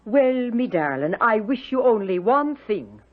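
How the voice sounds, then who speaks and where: Brisk, consoling Irish servant woman, high animated husky voice, thin radio-drama sound. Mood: motherly, consoling. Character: motherly, plain-spoken Irish servant woman, comforting and brisk. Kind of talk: old-time radio drama acting. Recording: tinny, narrow-band radio broadcast.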